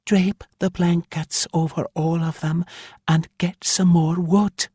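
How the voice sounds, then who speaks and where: A man's voice: on the high side, level tone, takes it slowly, darkish tone, slightly rough, no echo, pitched above the performer's own voice. Man voicing a harsh, bossy female companion creature. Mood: harsh, commanding.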